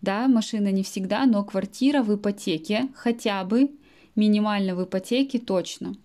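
A woman's voice: mid-range voice, normal speed, warm tone. A woman in her late twenties, a native-speaking language teacher, talks to her students in a podcast monologue and stops to explain words in simple terms. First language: Russian. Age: late 20s.

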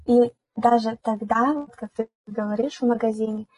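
High, flat-voiced young woman host. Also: moderate pace, husky, no room sound. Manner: asks questions, then shares her own story. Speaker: podcast host. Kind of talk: casual chat.

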